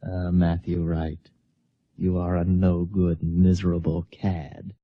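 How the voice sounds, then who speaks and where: A man's voice: deep voice, flat delivery, slow pace, husky, no room sound, heavily acted. An actor playing a scheming but polite city man in a radio drama, anxious, hesitant and easily flustered. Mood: self-reproachful. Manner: hesitant, exclamatory. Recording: dry, dull radio-drama recording.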